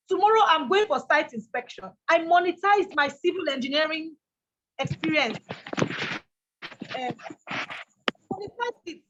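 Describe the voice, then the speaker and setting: Nigerian woman with a big loud voice, high and punchy, preaching hustle, kinda muffled lo-fi audio. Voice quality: loud, strong voice. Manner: emphatic, repeats key lines. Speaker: motivational speaker. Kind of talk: motivational talk.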